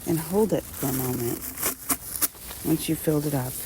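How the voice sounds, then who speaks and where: A woman leading a guided meditation in a gentle, unhurried manner, speaking unscripted instructions in short phrases with long pauses. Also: sits low, noisy background.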